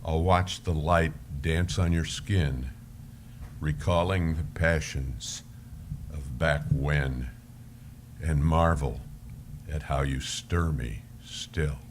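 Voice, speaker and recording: A man reading as an elderly husband, tender, measured and wistful, in a dramatic reading of a love letter with some background noise. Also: rumbling low. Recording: live stage recording with background noise.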